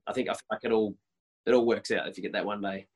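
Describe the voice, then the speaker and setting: A man's voice: fairly even intonation, very fast, husky. Man in his thirties, eager and chatty. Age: 30s. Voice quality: higher voice. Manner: enthusiastic, many restarts and repeated words. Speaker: worship leader. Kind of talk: casual podcast interview.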